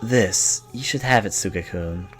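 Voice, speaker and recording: A man voicing an earnest, protective young female student in a video game, pleading and halting as he reads the dialogue aloud. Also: fairly low pitch, music in the background, close to an everyday voice.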